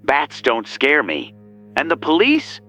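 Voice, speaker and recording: A slow, taunting killer on the phone. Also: male voice, on the high side, huge pitch swings, bright, forceful tone, soft background music, exaggerated voice. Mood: menacing. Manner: slow, teasing, sinister. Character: menacing male killer caller, calm and taunting. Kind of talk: audio drama acting.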